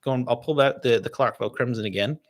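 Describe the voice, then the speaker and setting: A Canadian man with a North American accent, hosting a casual livestream and answering viewer questions in a relaxed, rambling way. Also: mid-range voice, fast. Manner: relaxed and rambling, with many fillers and restarts. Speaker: distiller hosting a livestream tasting.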